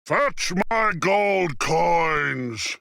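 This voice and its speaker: A huge, hungry storybook giant, played by a man roars out his words in a fierce, drawn-out chant. The delivery is slow and menacing, swinging widely in pitch above a plain talking voice. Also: lively intonation, creaky, sharp tone, no room sound, studio quality, full character voice. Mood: roaring command. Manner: roaring, drawn-out booming chant. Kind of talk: children's fairy-tale storybook.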